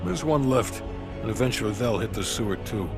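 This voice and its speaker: An actor playing a blunt, businesslike CIA officer in a video game, giving a dry, matter-of-fact briefing. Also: male voice, lowish voice, animated, fairly quick. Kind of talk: cinematic game briefing dialogue. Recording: music behind the voice.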